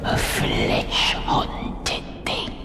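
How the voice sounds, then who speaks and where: A woman voicing an ancient, wrathful spirit of forest and river in a fantasy game, speaking archaic riddles in incantations that often sink into breathy whispers. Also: extremely slow, very noisy. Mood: ominous, solemn. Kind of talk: dramatic fantasy game acting. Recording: loud cinematic music behind.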